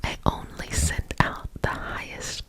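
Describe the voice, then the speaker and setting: A young woman whispering softly and affectionately as a Reiki teacher guiding a relaxing healing session. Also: measured pace. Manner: soft whisper throughout, affectionate and soothing.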